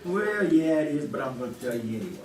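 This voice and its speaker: A man's voice: on the high side, sing-song, fast. A man chatting casually with the host as a quiz show contestant. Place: live stage before an audience. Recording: roomy, distant, faint noise.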